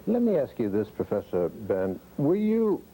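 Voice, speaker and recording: A man's voice: medium pitch, wildly swooping pitch, normal speed, mellow, slight background noise, poor audio. A man hosting a talk show, putting direct, probing questions to his guests. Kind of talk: interview questions.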